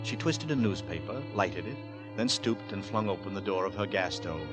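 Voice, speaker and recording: A low-voiced, grave narrator, played by a man, tells a Victorian horror tale. The delivery is measured and dramatic, in vintage radio suspense style, with music clearly behind it. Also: expressive, natural voice. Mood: brooding, suspenseful.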